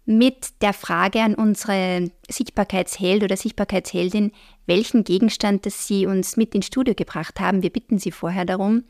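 A slightly hoarse woman co-hosting a podcast in a studio, speaking in a measured, friendly way. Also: mid-range voice, steady tone, a little mellow. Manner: measured, friendly, uses fillers. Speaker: podcast co-host. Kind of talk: casual podcast chat. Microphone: podcast microphone.

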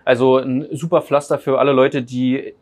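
A man in his thirties from Berlin, a data scientist guest telling his story in a relaxed interview, with many fillers and restarts. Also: mid-range voice, normal speed. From Berlin, Germany. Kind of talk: casual interview chat.

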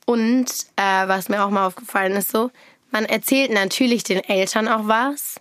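A teenage girl, hoarse and scratchy from a cold, hosts a casual chat with her best friend. She rambles through stories and breaks into giggles, recorded close in a dry room at a middle pitch. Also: normal speed, fairly bright tone, dry recording. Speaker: podcast host.

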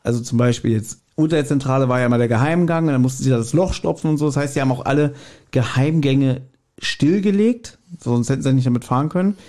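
A man's voice: mid-range voice, fast talker, warm tone. A man co-hosting a casual podcast, explaining and teasing in a dry way. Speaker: podcast co-host.

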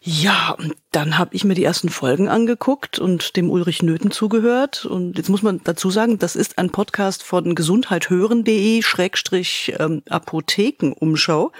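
A woman's voice: on the low side, moderate pace, husky, no echo, clean studio sound. A woman co-hosting a podcast in a casual, chatty, effusive way, repeating words for emphasis, with the ease of a radio veteran.

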